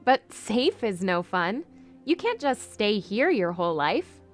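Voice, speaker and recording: A woman's voice: mid-range voice, sing-song, measured pace, faint music behind. A woman playing a spirited young princess in an audio drama, polite but bold in manner. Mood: wistful, earnest. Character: spirited young princess posing as a lady's maid. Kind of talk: fan audio drama acting.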